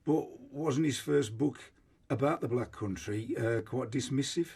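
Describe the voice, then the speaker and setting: A man with a soft-edged, dark tone hosts a radio interview. His voice is a little breathy and rough. He talks informally, turning remarks into questions with short tags. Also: medium pitch, a little slow.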